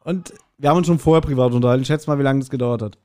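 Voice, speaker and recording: A man chatting casually as a podcast host, telling anecdotes in an animated way and acting out quotes. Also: medium pitch, racing. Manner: animated retelling with acted-out quotes. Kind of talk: casual chat, anecdotal storytelling.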